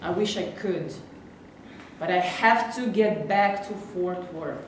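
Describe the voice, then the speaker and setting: A woman's voice: fairly low pitch, slow pace. A woman acting a stubborn, protective adult granddaughter in a staged reading, insistent and emotional. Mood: earnest, resigned. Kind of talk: amateur staged reading of a play. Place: live staged reading in a reverberant room. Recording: very echoey room, distant mic, faint noise.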